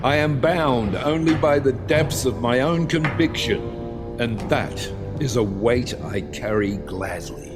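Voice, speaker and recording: An actor playing a bitter, vengeful sea captain who condemns mankind in grand, theatrical audio drama speeches. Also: male voice, medium pitch, over loud music, natural voice. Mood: proud defiance. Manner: grand, theatrical, deliberate oratory dropping to hushed intensity.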